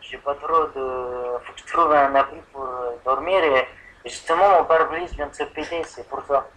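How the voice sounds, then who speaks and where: A man's voice: mid-range voice, fairly even intonation, moderate pace, bright, forceful tone, a little hiss or hum. A man on a telephone line making a prank call as a customer, rambling and hesitant as if confused. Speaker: prank caller posing as an insurance customer. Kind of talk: improvised prank phone call.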